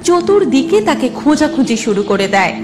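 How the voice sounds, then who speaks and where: A woman with a high, very lively voice narrates a children's tale. Her delivery is scripted and dramatic, with clear music playing behind her. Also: brisk.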